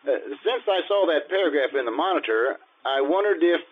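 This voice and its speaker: Guy doing a shy earnest teacher, halting and polite, highish, old radio comedy, phone-like audio. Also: lively intonation. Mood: bashful, earnest. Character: shy, earnest, bashful male high school teacher. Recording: tinny, phone-like old radio-style sound.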